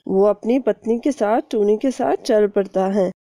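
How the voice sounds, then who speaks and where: Slow, very mellow female storyteller. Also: medium pitch, no room sound, clean studio sound. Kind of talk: scripted cartoon story narration.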